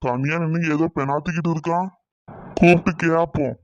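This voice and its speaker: A man's voice: fairly high pitch, fairly even intonation, fairly quick, close to an everyday voice. A man voicing an inquisitive, teasing old village grandfather in a cartoon comedy, in a slightly lo-fi recording. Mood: curious. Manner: inquisitive, knowing.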